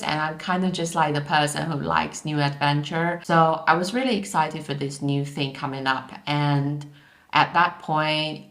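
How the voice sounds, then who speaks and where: Young woman, from Taiwan, low-pitched, chatty and candid, echoey room. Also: a bit bright. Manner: chatty, candid, repeats words for emphasis. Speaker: vlogger. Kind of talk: casual vlog talk.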